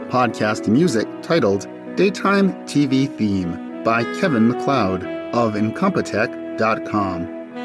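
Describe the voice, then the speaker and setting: A middle-aged man speaking as a podcast co-host, in talk and reading. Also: on the low side. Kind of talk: friendly podcast chat and poem reading.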